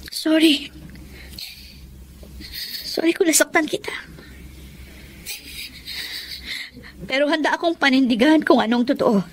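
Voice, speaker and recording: High-voiced pleading young mother acted by a woman. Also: a little hiss or hum, lightly acted. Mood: apologetic, resolute. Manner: emotional, imploring delivery. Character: pleading, tearful young mother begging for her child. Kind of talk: Filipino radio drama acting.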